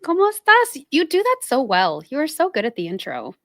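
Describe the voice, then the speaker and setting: A woman co-hosting a podcast in casual chat, with quick, teasing banter. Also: high voice, wildly swooping pitch, no echo, studio quality. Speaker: podcast co-host.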